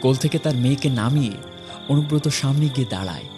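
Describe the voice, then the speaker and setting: Male horror story narrator over music. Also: mid-range voice. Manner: dramatic, short broken phrases with pauses. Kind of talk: scripted dramatic horror narration.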